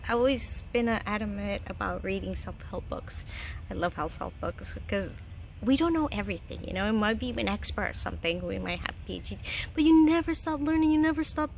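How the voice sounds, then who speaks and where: A woman's voice: fairly high pitch, a little hiss or hum. A woman in her thirties from Chile, giving casual, earnest life advice in a home vlog, chatty and laughing often. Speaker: vlogger. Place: home garden.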